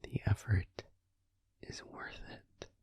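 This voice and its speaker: A man voicing a tender, devoted vampire boyfriend in an intimate ASMR comfort roleplay, speaking in a breathy, husky whisper close to the microphone. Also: a little mellow, natural voice. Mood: earnest, reassuring. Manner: slow, tender, soothing, reassuring. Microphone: close microphone, dry.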